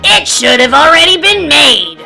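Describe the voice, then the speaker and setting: A woman voicing a grumpy, easily exasperated male goose from a storybook, exclaiming with drawn-out, emphatic words. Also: high-pitched, big pitch swings, creaky, sharp tone, soft background music, pitched above the performer's own voice, heavily acted. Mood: indignant.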